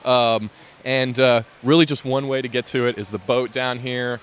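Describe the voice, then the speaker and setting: A man's voice: mid-range voice, steady tone, moderate pace, clear, resonant tone. A man, talking casually about his LEGO build at a convention, with lots of fillers and restarts. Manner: rambling, many fillers and restarts. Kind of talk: casual interview. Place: convention hall, indoors.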